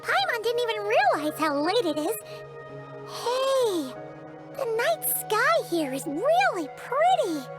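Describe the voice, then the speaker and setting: A little fairy companion for a video game. The voice is extremely high, childlike and squeaky, with very animated swings of pitch. The delivery is chirpy and blunt, over background music. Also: female voice, slow pace, exaggerated voice. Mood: teasing, chatty. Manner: refers to self in third person, expressive.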